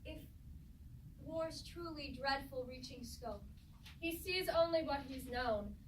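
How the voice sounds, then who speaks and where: Slow, high, mournful chorus played by a woman. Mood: solemn lament. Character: solemn Greek tragedy chorus, knowing and mournful. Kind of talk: staged reading of mock Greek tragedy. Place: indoor performance space, recorded from afar. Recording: echoey stage room, distant mic, faint noise.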